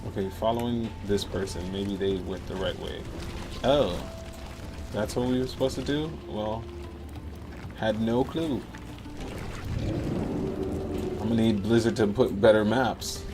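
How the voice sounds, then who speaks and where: An American man with a fairly low voice, talking to viewers as he streams a game. His manner is easygoing and chatty, and music plays clearly behind him. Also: slow, long pauses. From Miami, Florida, United States. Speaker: livestreamer. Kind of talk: casual live gaming commentary. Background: music and game sounds.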